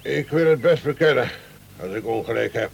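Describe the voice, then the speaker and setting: An actor playing a pompous, effusive upper-class official with a florid manner in a Dutch radio play. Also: male voice, mid-range voice, lively intonation, brisk, gravelly, highs cut off. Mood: effusive, impressed. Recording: noisy old radio play recording.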